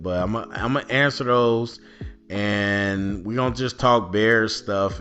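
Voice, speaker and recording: Bright-voiced man hosting a loose podcast. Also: on the low side. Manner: loose rambling, many ums and restarts. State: recovering from a cold, voice worn. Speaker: podcast host. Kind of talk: casual solo podcast talk. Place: at home indoors.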